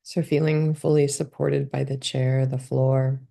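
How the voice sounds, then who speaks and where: A woman guides a body scan meditation in calm, gentle steps. Her voice sits very low, with a gravelly texture and a mellow, dark tone. She speaks slowly and unhurriedly. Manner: calm, gentle step-by-step instructions.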